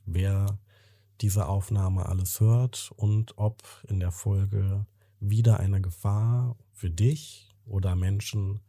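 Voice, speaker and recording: Host: male, low and gravelly, slow, calm and reassuring, dry close mic. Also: dry recording. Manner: calm, reassuring, sums up what the guest said. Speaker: podcast host and interviewer. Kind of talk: gentle interview questioning.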